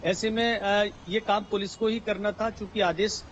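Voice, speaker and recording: High-pitched male correspondent, halting live report, muffled link with faint noise. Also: level tone. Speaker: news correspondent. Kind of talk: unscripted live news report.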